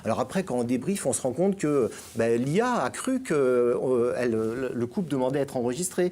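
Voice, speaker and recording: A man's voice: mid-range voice, expressive, fairly quick, dark tone. A middle-aged man from France, talking as a guest columnist in a radio chat, hesitant with many fillers and restarts. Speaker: guest columnist on digital topics.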